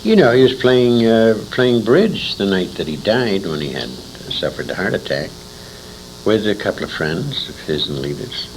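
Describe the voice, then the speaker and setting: A man's voice: fairly low pitch, sing-song, moderate pace. An older American man reminiscing in an interview, telling anecdotes in a rambling, emphatic way with asides to his listener. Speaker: interviewee, storyteller. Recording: noisy amateur 1980s convention interview recording.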